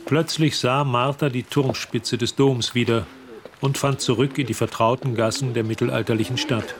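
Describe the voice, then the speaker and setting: An actor narrating a radio drama as a measured storyteller of a mystery tale. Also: male voice, medium pitch, steady tone, noisy background, lightly acted. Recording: music behind the voice.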